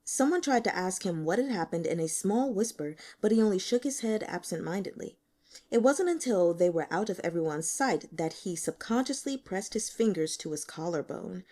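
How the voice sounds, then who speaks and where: A woman reading a novel aloud as scripted audiobook narration, with expressive, dramatic storytelling. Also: medium pitch. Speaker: audiobook reader.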